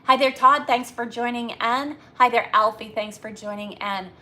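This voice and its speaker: Bright-voiced woman chatting on a livestream. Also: fairly high pitch, moderate pace. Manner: chatty, rambling, greeting viewers by name. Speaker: livestream host. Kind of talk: casual live chat with viewers.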